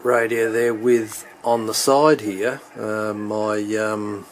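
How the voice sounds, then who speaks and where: A man giving an unscripted hands-on walkthrough of his equipment as a hobbyist, speaking haltingly and thinking aloud. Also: on the low side, flat delivery, slight background noise.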